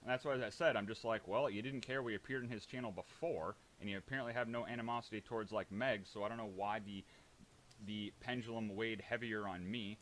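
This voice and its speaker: A man's voice: on the low side, steady tone, quick pace, fairly bright tone. Male, combative and defensive, profane informal argument, faint hiss on the recording. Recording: hissy background noise.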